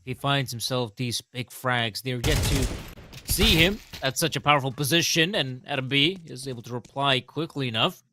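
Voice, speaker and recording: A man's voice: medium pitch, normal speed, noisy background. A man from the Baltics co-commentating a live esports match, analytical and joking. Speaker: esports caster.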